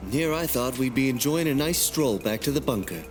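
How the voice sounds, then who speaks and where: A man's voice: medium pitch, expressive, brisk, faint music behind, lightly acted. A man playing a cocky assassin who relishes fighting, taunting and playful, in a sci-fi fan dub. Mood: taunting, amused. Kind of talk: acted fan dub of a visual novel.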